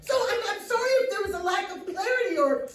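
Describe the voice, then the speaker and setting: A woman's voice: very high voice, expressive, quick pace. An actress playing a tactful, flustered psychologist, hesitant and stammering as she placates people in an emotional drama. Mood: panicked, pleading. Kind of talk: emotional dramatic stage-style acting. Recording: echoey room from far off, faint noise.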